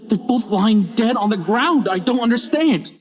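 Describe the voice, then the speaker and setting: Bewildered young apprentice, man playing: high, animated, anxious questioning, fantasy audio drama role. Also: moderate pace. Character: anxious, questioning young disciple of an old archivist.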